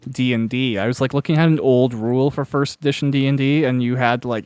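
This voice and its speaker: A man's voice: medium pitch, clean tone, no room sound, studio quality. A man hosting a casual interview, chatting in a rambling, anecdotal way and swearing freely. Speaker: podcast interviewer and author.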